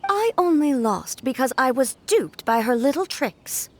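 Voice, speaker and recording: Regal thunder goddess: fairly high, expressive, formal, composed, earnest; game dialogue over music. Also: female voice, heavily acted. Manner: formal, composed, measured phrasing. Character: dignified, regal female thunder deity ruler, earnest. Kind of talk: anime game voice acting.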